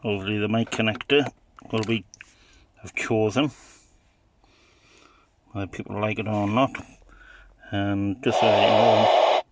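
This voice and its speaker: A man's voice: fairly low pitch, fairly even intonation, unhurried, long pauses, background noise. A man giving an informal, unscripted gadget demonstration in a hesitant, matter-of-fact way. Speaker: hobbyist product demonstrator.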